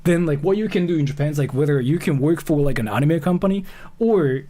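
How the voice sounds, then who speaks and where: A man's voice: fairly high pitch, lively intonation, mellow. A man chatting casually on a livestream as he comments, rambling and often repeating himself. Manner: rambling, repeats phrases, halting. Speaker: live streamer reacting to a video.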